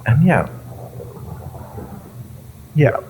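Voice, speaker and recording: A man playing a sinister, inhuman mind-reading interrogator in an audio roleplay, theatrical, mocking and menacing, with a gravelly voice and drawn-out phrasing. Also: mid-range voice, very animated, darkish tone, slight background noise, rough recording. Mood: musing, sinister. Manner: theatrical, taunting, drawn-out phrasing with pauses and whispers. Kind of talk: dramatic audio roleplay monologue to the listener.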